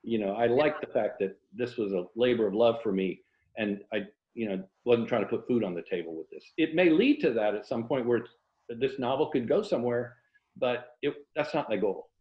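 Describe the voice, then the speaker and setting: A man's voice: medium pitch, expressive, fairly quick. An American man, a writer speaking as an interview guest in a wry, self-deprecating way, on an indoor video call. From Tampa, Florida, USA. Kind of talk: casual conversation, then reading own novel excerpt aloud. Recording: roomy, muffled video-call audio.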